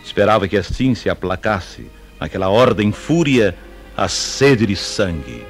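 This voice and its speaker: A man's voice: fairly low pitch, big pitch swings, unhurried, faint music behind. A man giving scripted dramatic narration in a solemn, declamatory way.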